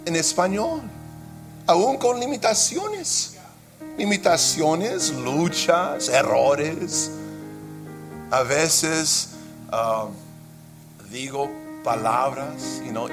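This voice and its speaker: A man preaching a sermon in Spanish, though English is his first language, with impassioned delivery and occasional slips into English. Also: high-pitched. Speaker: pastor.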